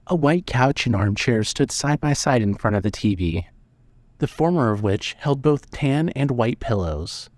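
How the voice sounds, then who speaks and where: A man reads dramatic fiction as its narrator. His voice sits at an average male pitch, and the delivery is measured and scripted. The sound is dry, with hardly any room in it. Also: lively intonation, darkish tone, no echo.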